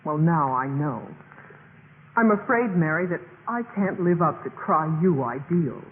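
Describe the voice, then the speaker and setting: An actress playing an earnest, principled young sorority pledge in a 1950s radio drama, speaking emphatically. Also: female voice, low-pitched, lively intonation, a little slow. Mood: resolute, earnest. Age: young adult. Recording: muffled, noisy 1950s radio recording, reverberant.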